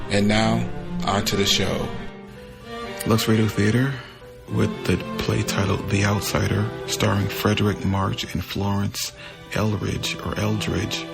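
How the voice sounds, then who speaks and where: Male podcast host, low pitch, gravelly creak, plain scripted intro, music behind.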